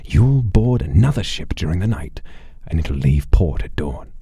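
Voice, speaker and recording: Man playing a very deep, sly negotiator. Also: big pitch swings, slightly rough, no echo, clean studio sound, exaggerated voice. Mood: calculating. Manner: measured, theatrical, hushed. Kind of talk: acted dialogue within a story.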